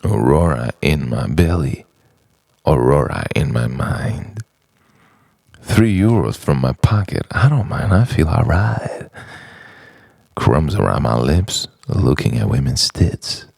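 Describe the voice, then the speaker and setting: Very deep, creaky-voiced man musing poetically. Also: very animated, darkish tone, no echo, clean studio sound. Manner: deliberate, halting phrases with dramatic pauses, dropping at times toward a whisper. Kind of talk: introspective spoken-word monologue, poetic letter to himself.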